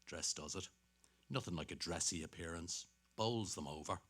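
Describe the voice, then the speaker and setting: A man's voice: sits low, normal speed, fairly bright tone. An actor playing a vain, dapper middle-aged salesman who chatters to himself in a dramatic stage reading. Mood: smug. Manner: self-satisfied, muttering to himself between hearty greetings.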